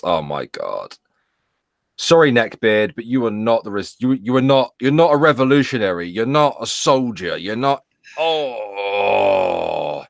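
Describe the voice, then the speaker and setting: Lower-voiced guy cohosting, blunt and fed up, dry close sound. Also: vocal fry, no echo. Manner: blunt, exasperated outbursts. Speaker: podcast co-host. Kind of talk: casual political chat.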